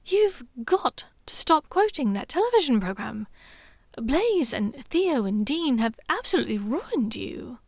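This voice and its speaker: A bright, indignant young woman pitches up high and squeaks out her protests, as a woman narrator puts her on. The sound is dry and close to the mic. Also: measured pace, no echo, exaggerated voice. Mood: whispered. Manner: high, lively, protesting with a laugh.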